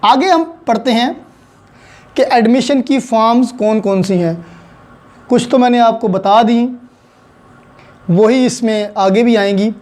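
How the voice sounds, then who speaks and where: A man's voice: very high-pitched, measured pace, pauses often, darkish tone. A man with a Pakistani accent giving an explanatory law lecture, teaching point by point and mixing in English legal terms. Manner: didactic, code-switches into English legal terms.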